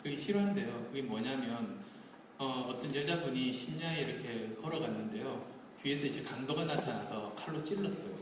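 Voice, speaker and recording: A man's voice: medium pitch, normal speed, noisy background. Man, casual stage storytelling, filler words, roomy hall, far from mic. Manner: relaxed, conversational, hedging fillers. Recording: echoey hall, distant mic.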